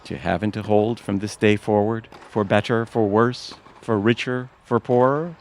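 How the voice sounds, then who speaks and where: A man's voice: on the low side, steady tone, moderate pace, natural voice. A man playing a boyish, romantic goofball husband in a comedic audio play. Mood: earnest, pleading. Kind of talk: comedic audio theatre acting.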